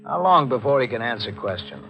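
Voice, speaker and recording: An actor playing an insistent law official in a 1940s radio mystery, clipped, pushy and businesslike. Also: male voice, medium pitch, sing-song, brisk, over music, rough recording. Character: brisk, insistent law official pressing a doctor.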